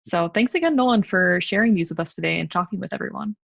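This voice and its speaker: Very creaky woman interviewer, dull sound. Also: medium pitch, fast talker, a bit bright, no room sound. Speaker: interviewer and host. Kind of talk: casual interview and sign-off.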